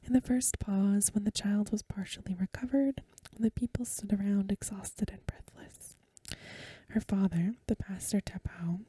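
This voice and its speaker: A woman reading aloud in a hushed, measured, breathy whisper, in the soft-spoken style of a bedtime ASMR recording. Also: medium pitch, dry recording.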